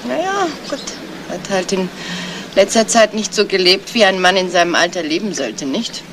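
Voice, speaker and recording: A woman's voice: medium pitch, lively intonation, moderate pace, lightly acted. A woman playing a nosy, gossipy neighbour in a 1970s radio crime drama, chatty and insinuating, feigning discretion and tagging her remarks with little questions. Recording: noisy old radio play, vehicle ambience.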